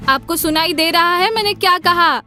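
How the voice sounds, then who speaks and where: A very high, whiny young daughter-in-law from a cartoon family tale, played by a woman. She is lazy and self-absorbed, brushing people off, with music under her lines. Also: moderate pace, pushed and bright. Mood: pained, pleading. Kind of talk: Hindi cartoon moral story dialogue.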